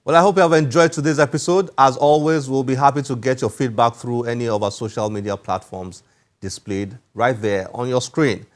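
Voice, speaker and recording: A man's voice: medium pitch, fairly even intonation, a little fast, soft background music. A man speaking as a programme host in a scripted presenting style.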